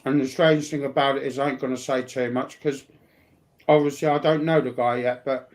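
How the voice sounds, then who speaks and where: A man's voice: mid-range voice, fairly even intonation, a little fast. A man talking in a casual, rambling, colloquial way, often repeating himself. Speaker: speaker sharing personal life news.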